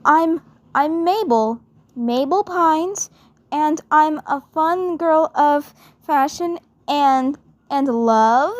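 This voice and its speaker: A woman playing a bubbly, affectionate girl, speaking haltingly and repeating her words and phrases. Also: high-pitched, no echo. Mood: excited, gushing.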